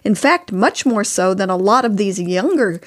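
An older woman talks casually as a podcast guest, sharing advice. Her voice sits at an average pitch for a woman. She comes across as earnest and emphatic, stressing what she believes. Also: lively intonation, moderate pace. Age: older, past sixty-four. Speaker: podcast guest, author and co-host spouse.

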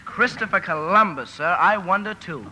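A man's voice: high voice, moderate pace, natural voice. An actor playing a polite, lonely, bashful young man, hesitant and stammering, in 1950 radio drama style. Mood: awkward. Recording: noisy vintage 1950 radio broadcast.

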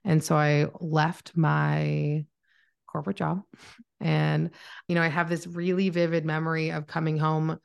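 A woman's voice: low voice, flat delivery, unhurried, vocal fry, clear, resonant tone. A woman in her thirties with a Canadian accent, telling her story in a chatty, informal interview style. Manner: informal, chatty, self-narrating. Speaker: podcast guest, marketing mentor.

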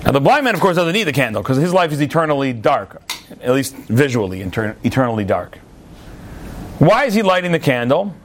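A man giving a storytelling sermon-style Torah lesson, animated and chatty, often repeating words mid-sentence. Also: medium pitch, brisk, slight background noise. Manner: chatty asides to listeners. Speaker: Torah teacher.

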